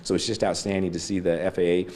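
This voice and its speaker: A man's voice: low-pitched, little pitch movement, fast, darkish tone, a little hiss or hum. A man speaking as an airport official, giving explanatory answers in an interview.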